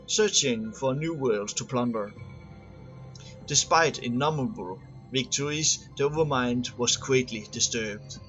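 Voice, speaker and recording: A man reading scripted science fiction lore aloud as an amateur narrator, a little halting on long words. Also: medium pitch, muffled recording.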